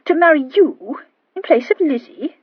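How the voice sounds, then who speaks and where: A woman voicing an excitable, scolding young woman who exclaims and reproaches. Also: sits high, moderate pace, no echo, muffled recording, voice pushed up. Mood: indignant.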